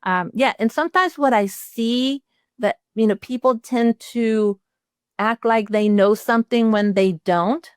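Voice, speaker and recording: A woman's voice: fairly high pitch, measured pace, clean tone, no echo, clean studio sound. An older woman from Chile, a retired accountant, giving a conversational instructional webinar and walking through sample phrases.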